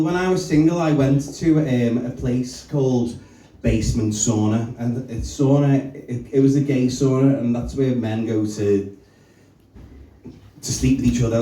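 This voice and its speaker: A young man doing a brash, self-mocking stand-up set in a small comedy club. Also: medium pitch. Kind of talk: stand-up set with crowd work. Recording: echoey room, distant mic, loud crowd laughter.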